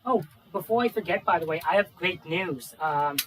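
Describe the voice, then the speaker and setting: A man's voice: sits high, expressive, normal speed, fairly bright tone, breathy edge, recorded from a distance, low-quality recording. A man chatting casually on a stream, mostly giving brief, encouraging replies. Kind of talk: casual chat on a live stream.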